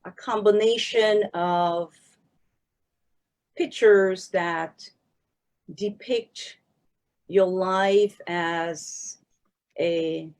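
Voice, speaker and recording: A woman's voice: on the low side, fairly even intonation, drawn-out words, pauses often, darkish tone. Woman host asking questions. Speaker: interview host. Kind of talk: casual interview.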